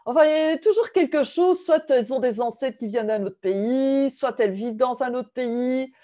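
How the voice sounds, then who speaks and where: Higher-pitched woman hosting an interview. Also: moderate pace, mellow. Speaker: interview host and constellation trainer. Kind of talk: semi-formal online interview.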